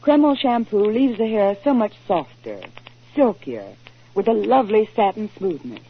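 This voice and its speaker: An actress playing a glamorous, gushing young model in a 1940s radio commercial. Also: female voice, mid-range voice, very animated, unhurried, slightly rough, full character voice. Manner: polished, gushing. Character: glamorous, enthusiastic young female model endorsing shampoo. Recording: tinny 1940s radio recording.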